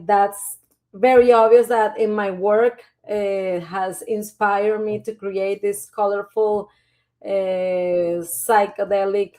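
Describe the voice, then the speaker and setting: A woman's voice: medium pitch, darkish tone. A woman from Venezuela, introducing herself and her art cheerfully in a live online presentation. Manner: cheerful, self-introducing, light laughs. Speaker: artist presenting her work.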